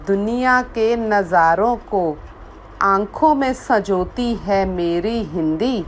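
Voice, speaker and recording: Woman, poet, slow recitation, repeated lines, faint background hum. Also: medium pitch. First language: Hindi. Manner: measured, repeats lines for emphasis.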